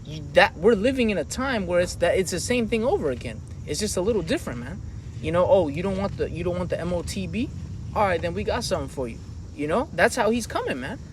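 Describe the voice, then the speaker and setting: High-voiced man preaching on a street. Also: fast talker, slight background noise, poor audio. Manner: animated, slangy, calls listeners man and brother. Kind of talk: impassioned outdoor street teaching.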